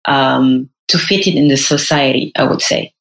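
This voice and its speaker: A woman's voice: rumbling low, normal speed, creaky, a bit bright, roomy sound, rough recording. A woman in her thirties, a stylist talking in an interview, hesitant and full of fillers and restarts. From France. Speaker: guest, personal brand stylist. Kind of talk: casual interview chat.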